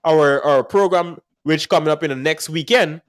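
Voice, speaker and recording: A man with a Guyanese accent, hosting a casual music industry chat and telling animated stories with frequent laughs. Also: a little high, moderate pace. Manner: animated storyteller, laughs often. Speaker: podcast host.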